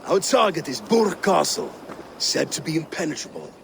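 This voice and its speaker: A man's voice: fairly high pitch, animated, moderate pace, gravelly, slight background noise. An actor playing a steadfast war captain in a video game, proud and formal as he speaks. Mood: proud resolve. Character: steadfast male war captain laying out battle plans. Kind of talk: cinematic game dialogue.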